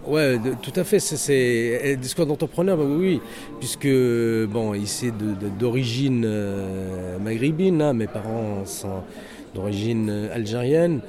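A man's voice: mid-range voice, a little slow, dark tone, soft background music. A man with a North African accent, head of a security training center, giving an earnest, motivational interview full of hesitations and restarts. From Algeria. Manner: hesitant, many fillers and restarts. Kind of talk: interview testimony. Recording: noisy crowd around the speaker. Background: crowd chatter.

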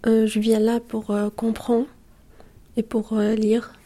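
Young woman from algeria, not a native speaker, short answers, flat and kinda high, mellow. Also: takes it slowly. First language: not French. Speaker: interviewee, French learner.

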